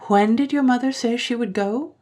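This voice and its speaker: A woman's voice: a little high, normal speed, a little mellow, voice pushed up, close to an everyday voice. A woman voicing an odd, teasing village girl who talks in a cool, sly, riddling way, in a storybook reading. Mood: aloof.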